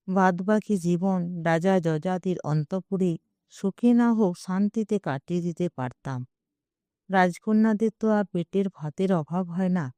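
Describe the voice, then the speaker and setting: A woman narrates a literary audio story in the first person. Her voice is smooth and mellow, and she takes her time. The delivery is wry and dramatic, with a close, dry sound. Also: fairly low pitch, dry recording.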